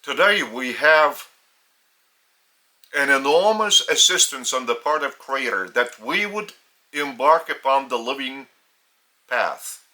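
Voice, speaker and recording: A man with a Lithuanian accent delivers a solemn spiritual teaching at a very slow pace. His voice is bright and forceful, at a mid pitch. He speaks in measured phrases broken by long pauses. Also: lively intonation, rough recording.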